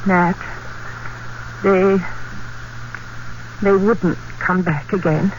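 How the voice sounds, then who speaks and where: An actress playing a worried, gentle wife and mother in an old radio drama, speaking with emotional concern. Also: on the low side, steady tone, very slow. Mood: concerned, soothing. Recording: muffled, very noisy old radio recording.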